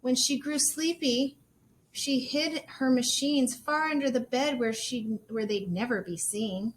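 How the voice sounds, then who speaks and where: A woman teacher reading a children's storybook aloud expressively, in measured, sing-song rhyme. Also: sits high, fairly even intonation, slight background noise, rough recording.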